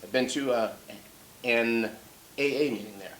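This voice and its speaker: An actor playing a troubled, mentally ill man who is childlike and stubborn, halting and argumentative, in a staged reading. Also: mid-range voice, fairly bright tone, natural voice. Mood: hesitant, wistful. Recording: roomy echo with faint background noise.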